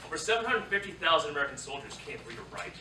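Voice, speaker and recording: Man acting bright, impassioned young soldier, roomy stage. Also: a little high, very animated, racing, exaggerated voice. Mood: bitter, impassioned. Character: proud, bitter young Japanese American World War II soldier. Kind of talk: staged reading of a play, dramatic and declamatory. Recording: roomy, distant theatre recording, faint noise.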